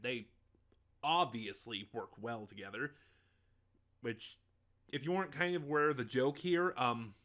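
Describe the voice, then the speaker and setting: A man hosts an informal review in a bright, forceful voice at a middling pitch. He speaks off the cuff, rambling a little, hesitating and rephrasing as he goes. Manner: rambling, self-correcting, hesitant. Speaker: online reviewer of audio dramas, host. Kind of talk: casual unscripted review monologue.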